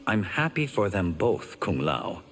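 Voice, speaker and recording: A man's voice: low voice, big pitch swings, a little slow, a bit bright, heavily acted. An actor playing an earnest, dutiful young male champion fighter in video game dialogue. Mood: earnest, steady. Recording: long hall reverb.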